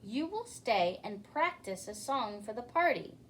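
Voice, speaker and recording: A demanding storybook king played by a woman, booming out orders. The delivery is slow and very animated. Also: a little hiss or hum. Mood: commanding. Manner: commanding, booming.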